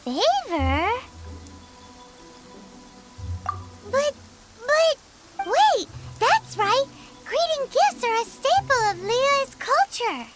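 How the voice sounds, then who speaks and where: A woman voicing a small, excitable, greedy fairy-like girl companion in a video game, with a squeaky childlike voice and bubbly, exclamatory delivery. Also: slow pace, exaggerated voice. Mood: flustered. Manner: bubbly, exclamatory, refers to self in third person. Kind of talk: animated video game voice acting. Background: game music.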